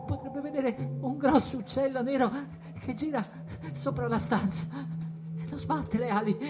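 An actor playing a tormented, restless jazz musician in a theatrical, impassioned radio monologue. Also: male voice, extremely high pitch, steady tone, unhurried, background noise. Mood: haunted. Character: tormented, drug-worn male jazz musician, restless and defiant.

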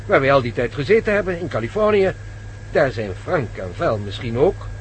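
An actor in a radio play playing an older, excitable male professor who stammers and fusses. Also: mid-range voice, moderate pace, a little hiss or hum, natural voice. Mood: reassuring.

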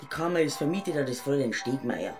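A woman's voice: a bass voice, animated, fast talker, music in the background. An actress playing a stern, grudging middle-aged Munich mother in a German radio drama.

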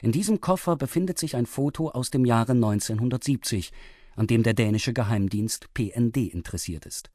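A man's voice: mid-range voice, brisk, darkish tone, dry recording, studio quality. A man reading a scripted, factual story recap as a radio announcer, formal and precise. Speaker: announcer summarising the story so far.

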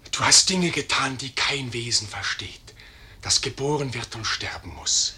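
An actor playing an urgent inner voice in a poetic radio play, declaiming insistently in a rough, chanting way. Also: male voice, medium pitch, measured pace, pushed and bright, heavily acted. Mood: solemn, insistent. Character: urgent inner voice, accusing and exhorting a dying man.

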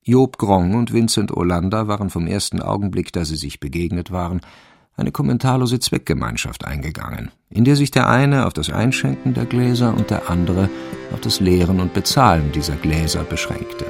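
A man narrating a crime radio play in a measured, wry, literary manner. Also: low-pitched, animated, gravelly, heavily acted. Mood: dry, measured. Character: dry, omniscient male narrator of a village crime story. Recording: music behind the voice. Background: instrumental music.

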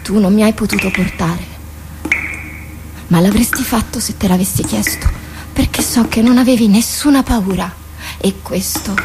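A woman's voice: mid-range voice, close to an everyday voice. An actress playing a protective, jealous dead woman in a radio drama, pleading and reproachful, with intense theatrical delivery. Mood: tender, intimate. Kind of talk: Italian radio drama acting. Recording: clearly noisy radio drama recording.

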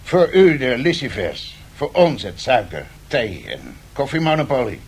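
An actor playing a secretive, persuasive financial envoy in a radio drama, speaking in a formal, deliberate way. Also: male voice, mid-range voice, very animated, breathy edge, exaggerated voice. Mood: measured, secretive.

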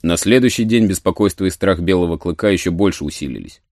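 A man's voice: low-pitched, fast, darkish tone, dry recording, clean studio sound. A man narrating a story as a scripted dramatic audiobook. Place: studio.

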